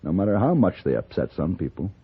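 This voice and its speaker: An actor playing a calm, worldly gunfighter in an old-time radio western, speaking in a measured, well-spoken, probing way. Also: male voice, low-pitched, slightly rough, full character voice. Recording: muffled vintage radio recording with music.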